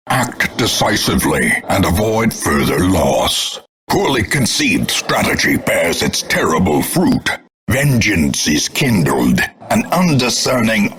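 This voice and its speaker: An actor playing a grim, scornful older male announcer in a video game, grandiloquent and portentous, with a gravelly, husky voice. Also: low-pitched, a bit bright, slight background noise, exaggerated voice. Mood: stern, vengeful. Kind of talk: theatrical scripted game announcements.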